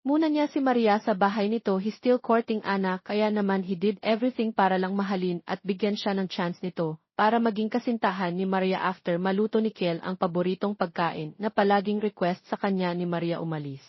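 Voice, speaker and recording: A woman's voice: mid-range voice, brisk, dry recording, muffled recording. A woman with a Filipino accent narrating a scripted romance story as an audiobook narrator.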